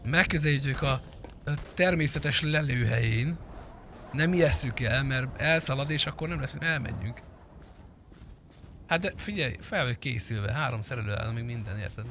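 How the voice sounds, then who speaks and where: A man's voice: medium pitch, fairly bright tone. A man giving casual live commentary on a roleplay game, chatting in slang and swearing as he addresses his viewers and friends. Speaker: game streamer. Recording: clearly noisy background.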